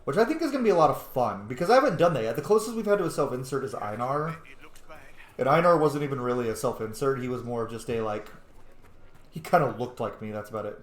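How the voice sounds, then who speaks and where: Chatty guy streaming a game, playful, mid pitch, echoey room. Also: animated, quick pace. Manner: chatty, self-correcting, playful. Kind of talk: casual gameplay commentary.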